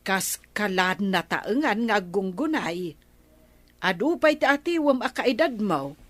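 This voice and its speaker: A woman's voice: medium pitch, very animated, measured pace. An actress with an Ilocano accent playing an elderly grandmother in a radio drama, questioning and admonishing with concern. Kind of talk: comedy radio drama acting.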